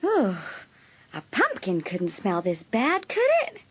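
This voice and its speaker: A woman's voice: medium pitch, wildly swooping pitch, takes it slowly, a little hiss or hum. A woman playing a resentful, accusing teenage girl in a dramatised audiobook, bitter and emphatic. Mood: disgusted. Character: resentful, accusing teenage girl scheming against her cousin.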